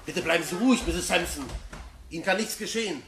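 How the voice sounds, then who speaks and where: An actor playing a calm, businesslike police inspector in a German crime radio play. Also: male voice, high voice, fairly quick, vocal fry, a little hiss or hum, full character voice. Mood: calm, reassuring.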